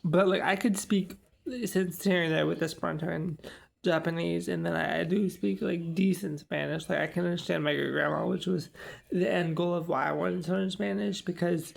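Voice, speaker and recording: Gravelly young man chatting casually. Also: a little high, fairly even intonation, normal speed. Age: 20s. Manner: rambling, lots of "like" and "um". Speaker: vlogger. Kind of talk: casual vlog chat.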